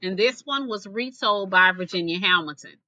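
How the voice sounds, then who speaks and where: Woman, bright forceful tone, expressive story reading, teacherly, dry close recording. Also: mid-range voice, normal speed, no room sound, muffled recording. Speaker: teacher reading stories aloud. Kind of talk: expressive folk-tale storytelling.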